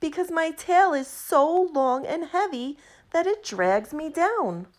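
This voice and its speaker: A woman's voice: sits high, lively intonation, slow, voice pushed up. A woman voicing a glum young female beaver in a storybook, complaining in a plaintive way. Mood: glum complaint. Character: young female beaver, glum about her heavy tail.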